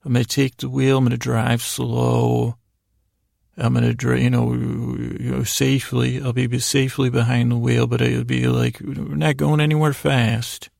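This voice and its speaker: A man with a heavily creaky, gravelly voice hosts a sleep podcast. He keeps his pitch fairly even as he meanders through halting, self-interrupted sentences. The delivery is lulling and mildly self-deprecating, recorded close and dry. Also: dry recording. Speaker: podcast host. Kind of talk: rambling bedtime monologue to help listeners fall asleep.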